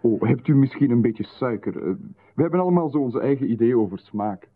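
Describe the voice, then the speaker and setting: An actor playing an intrusive, oddly demanding male visitor, calm and coolly insistent. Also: mid-range voice, brisk, close to an everyday voice. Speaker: radio drama actor. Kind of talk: 1990s radio play acting. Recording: tinny narrow-band radio sound.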